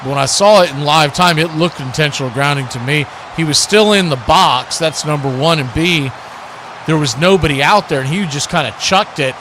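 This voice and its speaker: A man calls a football game in radio play-by-play style, dropping into casual asides. His voice sits a bit high for a man. There is a steady layer of noise behind him. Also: moderate pace. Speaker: live sports play-by-play commentator.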